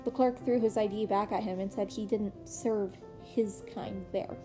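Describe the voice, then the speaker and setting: A woman's voice: medium pitch, fairly even intonation, normal speed, over music, lightly acted. A woman voicing a concerned, loyal male teacher in a story, recounting things earnestly. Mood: grim.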